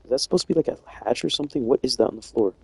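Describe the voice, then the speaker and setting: A man's voice: medium pitch, expressive, racing, darkish tone, slight background noise. A young man chatting casually and rambling in slang while streaming a game from home. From United States. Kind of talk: casual chat while playing.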